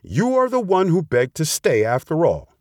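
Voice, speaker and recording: A man's voice: on the high side, wildly swooping pitch, moderate pace, no room sound, clean studio sound, voice pushed up. A man voicing a wily, haughty female fox who bullies and schemes, in a storybook tale. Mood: smug, bargaining. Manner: haughty, bullying. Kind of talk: storybook character voice.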